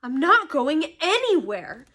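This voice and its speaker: A woman's voice: high-pitched, big pitch swings, measured pace, voice pushed up. A woman voicing a boy hero in a storybook, fierce and insistent, with an urgent, indignant way of questioning.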